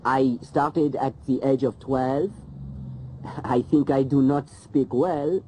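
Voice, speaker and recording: A man playing a slow-speaking Frenchman. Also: medium pitch, fairly even intonation, dark tone, slight background noise, close to an everyday voice, poor audio. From France. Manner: careful, hesitant, halting. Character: French man studying English. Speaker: English learner. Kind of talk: scripted coursebook monologue.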